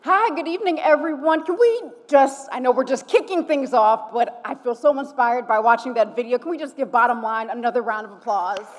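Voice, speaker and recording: A woman's voice: fairly high pitch, brisk, few pauses, a little hiss or hum. A young woman from Chicago, a TV reporter, hosting a gala and cheering on the crowd with call-and-response. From Chicago, USA. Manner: upbeat, rallies the audience with call-and-response. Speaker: event host, TV reporter. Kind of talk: gala emcee speech. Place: large event hall. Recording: long hall reverb from event PA.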